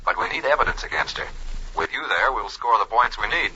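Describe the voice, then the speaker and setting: An actor playing a firm, urgent government investigator in a 1950s radio drama. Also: male voice, fairly low pitch, big pitch swings, a little fast, pushed and bright, slightly rough, full character voice. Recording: harsh, noisy, dull old radio.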